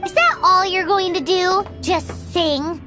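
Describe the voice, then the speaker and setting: A woman voicing a tiny, cheeky, childlike fairy companion in a video game, excitable and bratty. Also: very high-pitched, slow pace, music in the background, full character voice. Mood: cheeky, teasing. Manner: childlike, refers to self in third person.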